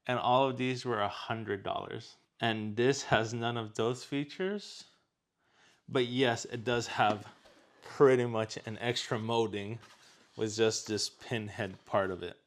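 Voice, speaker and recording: A man with a medium-pitched voice hosts an unboxing video. He talks in a casual, conversational way, as a reviewer explaining a product to viewers. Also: steady tone, slow.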